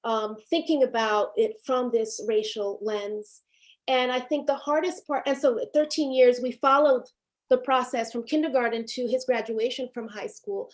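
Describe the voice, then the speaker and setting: A woman from Haiti in her fifties, a documentary filmmaker speaking on a panel over a video call, thoughtful and halting, with many fillers and restarts. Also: a little high, darkish tone. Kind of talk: reflective panel discussion.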